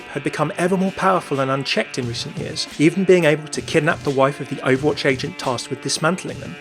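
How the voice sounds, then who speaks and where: A male video narrator with a slightly higher-pitched voice and a quick pace reads scripted game lore. Soft music plays behind him.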